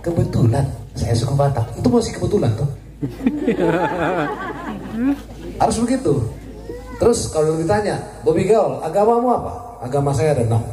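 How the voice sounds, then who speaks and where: A man, a military officer, giving a joking, lively speech at a community gathering. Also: on the high side, a little fast. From Indonesia. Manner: jokey and lively, laughs at his own jokes. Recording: echoey, distant, crowd noise.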